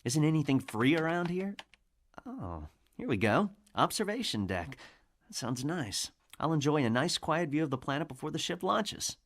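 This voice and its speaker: An actor playing an earnest young imperial lieutenant in an audio drama, plain-spoken and dryly sarcastic. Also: male voice, on the high side, big pitch swings, moderate pace, fairly bright tone, heavily acted. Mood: wry grumbling. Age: young adult. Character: earnest, wry young male imperial lieutenant, modest newcomer. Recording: crowd murmur behind the voice.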